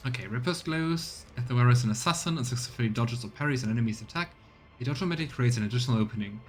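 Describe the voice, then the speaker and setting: A man streaming a role-playing game, chatting casually as he plays and rattling off item descriptions in quick bursts. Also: mid-range voice, slight background noise. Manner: thinks aloud, reads item text in quick bursts. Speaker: let's play streamer. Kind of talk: casual gameplay commentary.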